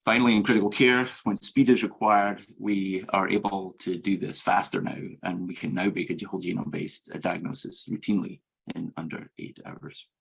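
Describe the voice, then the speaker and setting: A man with a Scottish accent giving an academic medical lecture as a professor, in a measured, earnest and hesitant way. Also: deep voice, fairly even intonation, vocal fry, a bit bright, poor audio. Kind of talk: academic grand rounds presentation.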